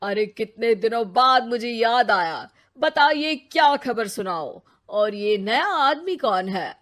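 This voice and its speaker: A woman's voice: fairly high pitch, expressive, slow, a bit bright. A woman voicing a chatty male magic television newsreader that teases the family in a cartoon. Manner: cheeky, teasing, talkative. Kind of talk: Hindi cartoon voice acting.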